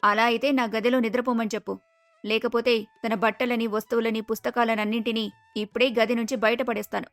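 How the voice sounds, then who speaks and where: A woman's voice: a little high, flat delivery, fast, pitched below the performer's own voice, lightly acted. A woman voicing an arrogant, spoiled young adult daughter in a cartoon moral story, with a haughty, bossy manner. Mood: haughty, harsh.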